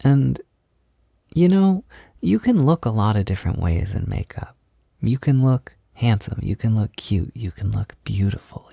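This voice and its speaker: A man playing a gentle, reassuring partner in an intimate ASMR-style roleplay, soft-spoken and soothing, with casual phrasing that often slips toward a whisper. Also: on the low side, creaky, husky, no echo, studio quality, heavily acted. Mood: tender, reassuring. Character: gentle, reassuring male partner doing a beginner's makeup.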